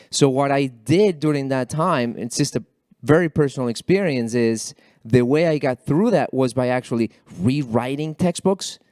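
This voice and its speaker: A man whose first language is Spanish, speaking as an alumni panelist at a college event in a halting, earnest way with many repeated words. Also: medium pitch, darkish tone, dry recording, studio quality. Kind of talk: panel discussion remarks.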